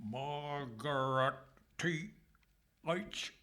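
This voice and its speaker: A man's voice: fairly high pitch, sharp tone, full character voice. A man playing a dim, bumbling, good-natured police constable in a comic radio play before a live audience. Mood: dim, dithering. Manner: slow, bumbling.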